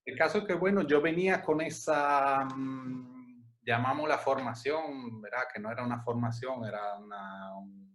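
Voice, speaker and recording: A man in his forties from Italy, speaking with an Italian accent, answering interview questions in a relaxed, hesitant way with many restarts. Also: medium pitch, a little slow. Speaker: interview guest, music agency director.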